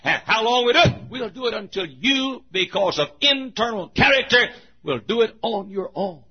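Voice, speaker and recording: An older male pastor preaches with fire, his husky voice riding high and swooping widely between quiet asides and shouted points. He chuckles through his lines. The recording is muffled and lo-fi. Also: lively intonation, moderate pace. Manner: fiery preaching, swings from hushed to shouting. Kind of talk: sermon.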